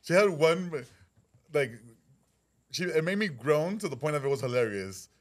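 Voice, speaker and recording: A man in casual conversation, telling stories in an animated way and acting out the jokes. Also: a little high, moderate pace. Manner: animated storyteller, acts out jokes. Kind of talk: casual chat.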